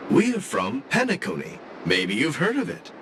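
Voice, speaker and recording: A man voicing a friendly, eager tourist in a video game, affable and expressive. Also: mid-range voice, normal speed, background noise. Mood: affable, curious. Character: friendly, eager male tourist from a dream resort. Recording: music behind the voice.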